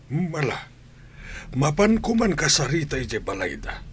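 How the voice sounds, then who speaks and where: A man's voice: on the high side, very animated, measured pace, a bit bright, a little hiss or hum. A man playing a stern, concerned old grandfather in a radio drama, with an Ilocano accent and an admonishing way of talking. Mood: disapproving. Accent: Ilocano Filipino. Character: stern, concerned old grandfather scolding his gambling daughter.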